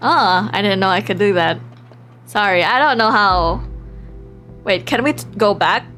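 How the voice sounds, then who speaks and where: A woman streams a visual novel with music playing behind her. She reads the text aloud in an expressive way, sometimes stumbling over words. Between passages she reacts casually, in a giggly, chatty manner. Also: medium pitch, measured pace.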